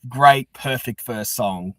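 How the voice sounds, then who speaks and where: An Australian man hosting a podcast in casual interview chat, halting and repeating words as he goes. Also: medium pitch, a bit bright, no echo. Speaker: podcast host.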